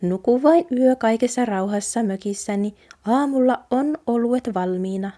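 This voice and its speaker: Little storybook mouse bride, played by a woman, high-pitched, brisk, unworried, soft-edged tone. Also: natural voice. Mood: soothing, breezy. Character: small brisk cheerful female mouse, reassuring would-be bride.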